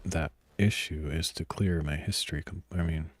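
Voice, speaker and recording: Male streamer, very low and grainy, soft-spoken, rambling think-aloud delivery with frequent throat clearing. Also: fairly even intonation, normal speed, faint music behind. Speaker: streamer coding live. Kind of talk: casual thinking aloud while coding.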